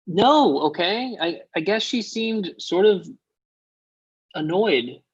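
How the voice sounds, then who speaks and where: Reluctant male friend, thirties, actor in a comedy read, mid-pitched, awkward and halting, slightly muffled recording. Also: animated, breathy edge, full character voice. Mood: exasperated. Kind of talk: comedy pilot table read. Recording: muffled, dull online call audio.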